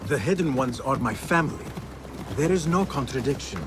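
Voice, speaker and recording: Actor as an earnest young assassin, lively pitch. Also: male voice, moderate pace, background noise, lightly acted. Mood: defiant, earnest. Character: earnest, loyal young male assassin, former street orphan. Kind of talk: video game cutscene acting.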